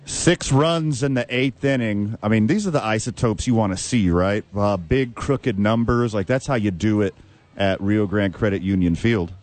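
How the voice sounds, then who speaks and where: A man with a Texan accent hosting a sports radio show, talking with loud, hype-man enthusiasm. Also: on the low side, normal speed, no echo. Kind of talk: casual sports radio chat.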